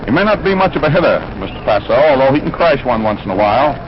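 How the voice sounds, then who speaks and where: An American man announces baseball in an old 1940s radio style, with a mid-pitched voice. He describes the play and chats conversationally. The old broadcast sounds dull, with clear noise around him. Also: big pitch swings, quick pace. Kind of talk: 1940s radio sports commentary. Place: ballpark broadcast position.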